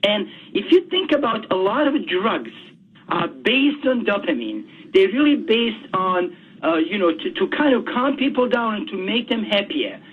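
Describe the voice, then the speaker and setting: A high-pitched man talks as a guest on a radio show, stopping and repeating words as he searches for them. His voice has a husky edge. It comes through a thin, tinny phone line. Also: big pitch swings, measured pace. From Bosnia. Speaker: author guest.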